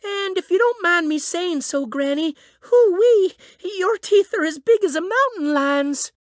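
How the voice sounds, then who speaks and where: Plucky lil cowgirl, western american accent, squeaky high n bouncy pitch, cartoon style. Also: lively intonation, measured pace, no room sound, studio quality. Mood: curious, excited. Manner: folksy, exuberant cowboy twang. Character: plucky, folksy little cowgirl granddaughter. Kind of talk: children's cartoon fairy tale acting.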